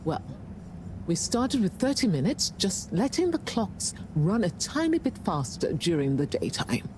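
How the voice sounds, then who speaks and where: A woman's voice: medium pitch, big pitch swings, unhurried, a little mellow, a little hiss or hum. An actress playing an imperious middle-aged female ship CEO in a video game, haughty, smug and dry. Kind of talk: scripted video game dialogue.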